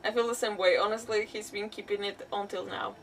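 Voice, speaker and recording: A woman streaming a game, giving casual, expressive live reactions full of exclamations. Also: mid-range voice, fairly quick, far from the mic, a little hiss or hum.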